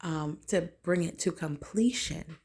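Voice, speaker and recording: A woman with a notably low, raspy voice talks straight to camera in a relaxed, wandering monologue. She circles her points with plenty of "like" and "um" and restarts. It is an easygoing vlog chat rather than a prepared speech. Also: measured pace. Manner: rambling, filler-heavy, loose and conversational. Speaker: vlogger. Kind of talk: casual talking-head monologue.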